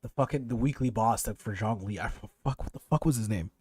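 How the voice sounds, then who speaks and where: Mellow man rambling through gameplay commentary. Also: on the low side, quick pace, slightly rough, dry recording, clean studio sound. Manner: rambling, thinking aloud, sweary. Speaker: streamer playing a video game.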